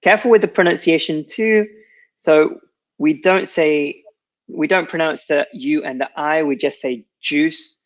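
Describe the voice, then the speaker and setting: A man with a fairly high voice teaching English at a very slow, deliberate pace, patiently correcting pronunciation and slipping in jokes. The sound is dull and lo-fi, like a streamed lesson. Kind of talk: casual live English lesson.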